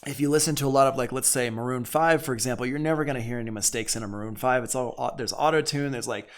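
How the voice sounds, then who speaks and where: A man giving a casual live audio software tutorial as an instructor, explaining in a conversational way and repeating points for emphasis. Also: medium pitch, fast talker. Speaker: music production instructor.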